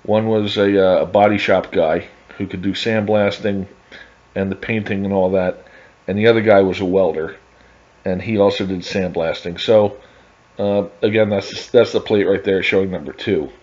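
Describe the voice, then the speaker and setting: Halting low-voiced man, dull lo-fi narration. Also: steady tone, darkish tone. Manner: halting, many uh fillers and restarts. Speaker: hobby car restorer narrating his project. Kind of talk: casual voice-over over photos. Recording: dull lo-fi voice-over.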